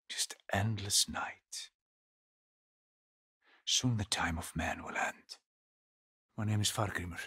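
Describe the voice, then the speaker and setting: A grave male guide of a haunted Norse land for a video game. His voice is lowish and heavily breathy, with a rasping husk. He speaks slowly and solemnly, with portentous weight and a weary temper. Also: fairly bright tone, full character voice. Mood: grave, foreboding. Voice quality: husky, breathy, often dropping to a whisper. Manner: solemn, deliberate, portentous delivery. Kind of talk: dramatic video game dialogue.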